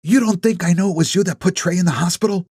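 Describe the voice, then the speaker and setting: A man's voice: sits high, quick pace, a little mellow, husky, no echo, clean studio sound, pitched above the performer's own voice. A man voicing a tense, upset woman. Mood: angry. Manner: tense, scolding. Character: middle-aged woman, upset and defensive.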